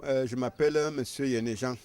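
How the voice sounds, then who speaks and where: Halting older Cameroonian man. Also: mid-range voice, fairly even intonation, crowd noise. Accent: Cameroonian French. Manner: halting, repetitive, with many fillers. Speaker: local resident. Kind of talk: interview statement. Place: outdoors in a neighborhood.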